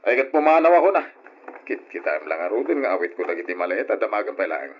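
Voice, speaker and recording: A man's voice: lowish voice, big pitch swings, fast. A man with an Ilocano accent acting a defiant, callous middle-aged father in an old radio drama, brusque and scolding. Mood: dismissive. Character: defiant, callous father walking out on his family. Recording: dull lo-fi old radio recording.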